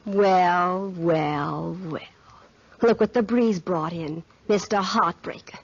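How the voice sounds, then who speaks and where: An actress in a 1940s radio drama playing a lovesick, sharp-tongued girlfriend, slangy and emotional. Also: lowish voice, slow, breathy edge, exaggerated voice. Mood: sarcastic, hurt. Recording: muffled 1940s radio recording.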